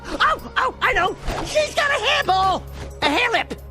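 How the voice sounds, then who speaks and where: A cocky little rodent sidekick, high-pitched and wildly animated. He is a brash, excitable video game wisecracker, with loud music playing behind him. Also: unhurried, heavily acted. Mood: eager guessing.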